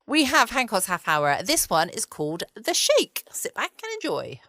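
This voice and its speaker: A woman hosting a podcast in relaxed, rambling bedtime chat. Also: medium pitch, normal speed. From England. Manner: relaxed, chatty. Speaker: podcast host. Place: at home indoors, quiet.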